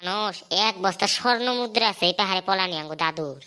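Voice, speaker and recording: A woman's voice: mid-range voice, quick pace, pushed and bright, close to an everyday voice. A woman voicing a cheeky, scheming truant schoolboy in a comedy cartoon, boastful and teasing, with a rural Bangladeshi Bengali accent. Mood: conspiratorial.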